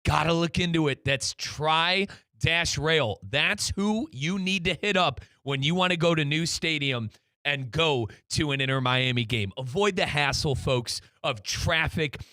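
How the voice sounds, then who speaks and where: Male sports radio host, forceful bright voice, a little high, emphatic and chummy, dry close sound. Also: dry recording. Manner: emphatic, chummy, repeats himself. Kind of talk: soccer talk show hosting.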